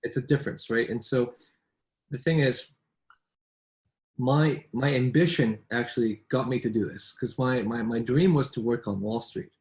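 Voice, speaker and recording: Casting: male business coach in casual chat, medium pitch, repeats words and tags lines with "right?". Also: normal speed, darkish tone. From born in New York, raised in Vancouver. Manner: chatty, with frequent restarts and rhetorical "right?". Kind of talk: casual conversation between colleagues.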